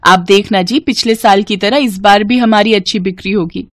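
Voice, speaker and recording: A woman playing a caring, devout poor village wife who sells toys, with a gentle, pleading manner in a moral-story cartoon. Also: mid-range voice, normal speed, close to an everyday voice. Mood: reassuring.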